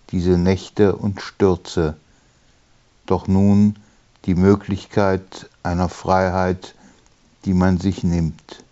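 A man reading his own poem in measured short phrases with pauses between them. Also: low voice, mellow. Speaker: poet reading own work. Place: small room, writing study. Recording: dull, muffled sound.

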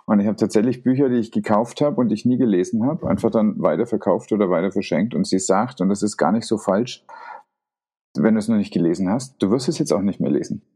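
Podcast host: man, lower voice, thoughtful, curious interviewer. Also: quick pace, mellow, breathy edge. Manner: thoughtful, asks follow-up questions. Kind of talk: casual interview.

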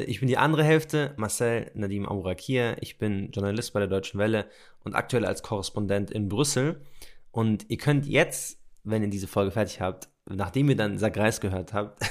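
A man co-hosting a podcast and working as a journalist, talking in a relaxed, conversational way and then narrating from a script. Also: fairly low pitch.

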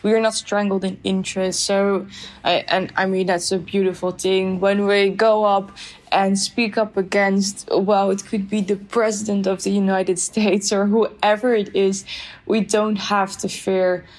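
A young woman speaking in a podcast interview as a guest expert, talking in a thoughtful, measured way with frequent fillers. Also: medium pitch, level tone, darkish tone. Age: young adult. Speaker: youth activism guest expert.

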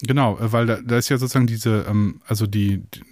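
A man's voice: lowish voice, steady tone, quick pace, a little mellow, no room sound. Hesitant musing German man, dry sound. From Germany, Münsterland. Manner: hesitant, many restarts and fillers, musing. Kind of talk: casual conversation.

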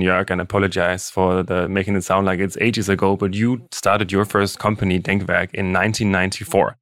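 A man hosting a podcast, reading measured introductions and posing questions. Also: low voice, dry recording, clean studio sound. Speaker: podcast host.

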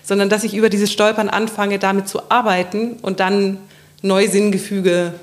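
A woman's voice: mid-range voice, flat delivery, moderate pace. A woman in a reflective intellectual discussion, thinking aloud and often correcting herself as she searches for the right wording.

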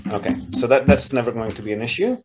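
A man at a middle pitch walks through property loans and purchase steps in a relaxed, explanatory way. He often pauses on "um" and "uh" and restarts his sentences as he goes. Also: noisy background. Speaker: real estate advisor and podcast host. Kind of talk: business call recording, casual advisory.

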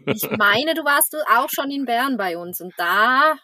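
Female Swiss blogger guest, Swiss German accent, highish husky voice, playful. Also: expressive, measured pace, pushed and bright, no echo. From Switzerland. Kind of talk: casual podcast chat.

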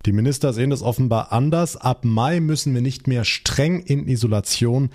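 A man's voice: medium pitch, normal speed, a little mellow. A man presenting a radio news magazine and leading into conversations with colleagues.

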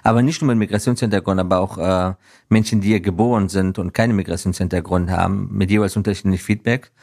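A man from Afghanistan speaking as an interview guest, reflecting earnestly in conversational answers with halting pauses and self-corrections. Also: low-pitched, fairly even intonation, gravelly, a little mellow. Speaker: interview guest, business professional.

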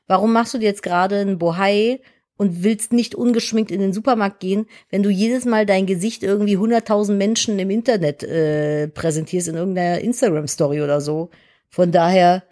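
Chatty woman, deep voice with a lisp. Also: a little fast, mellow. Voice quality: self-described deep voice with a lisp. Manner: chatty, candid, frequent fillers like "ne?". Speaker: podcast co-host. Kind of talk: casual podcast chat.

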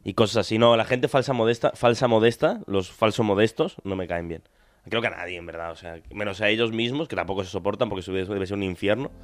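A young man from Argentina co-hosting a podcast, chatting casually in a rambling, joking way, with quick asides and laughs. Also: lowish voice. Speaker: podcast co-host. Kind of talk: casual banter.

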